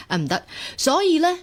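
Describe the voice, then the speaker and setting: A woman's voice: sits high, exaggerated voice. An actress playing a playful, romantic young woman in a Cantonese radio drama, with a Hong Kong accent. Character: playful, romantic, impulsive young woman smitten with online stranger.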